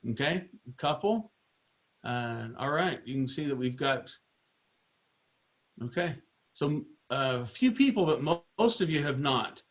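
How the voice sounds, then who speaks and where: A middle-aged man with a slightly hoarse voice leading an online product training, informal and rambling with many fillers and restarts. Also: medium pitch, expressive, measured pace, pauses often.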